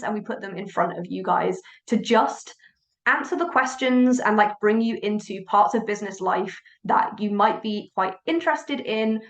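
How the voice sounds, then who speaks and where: Brief: enthusiastic woman webinar host, gravelly edge, chatty, roomy sound. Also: medium pitch, fairly bright tone. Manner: bubbly, chatty, swears casually. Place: home office.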